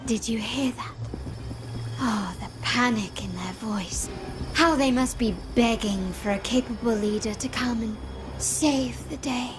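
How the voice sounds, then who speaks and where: Woman acting poised, high-voiced young noblewoman, music blaring. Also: measured pace, close to an everyday voice. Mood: taunting, hushed. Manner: composed, theatrical, self-assured. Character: poised, commanding young noblewoman of a crime family. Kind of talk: video game voice acting. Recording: loud music behind the voice. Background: loud game music.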